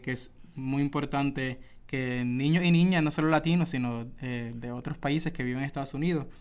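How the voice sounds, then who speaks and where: A man's voice: mid-range voice, smooth. A man interviewer asking long questions with hesitations and frequent backchannels.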